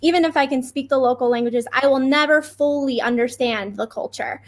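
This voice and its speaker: Woman, behavior analyst, earnest, direct, very high pitch. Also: a little fast. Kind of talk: panel discussion.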